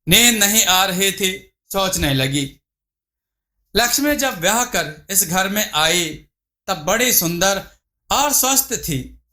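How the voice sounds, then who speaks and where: A man's voice: high-pitched, sharp tone. A man reading a scripted folk tale as a storyteller. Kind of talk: scripted narration of a Hindi moral folk tale.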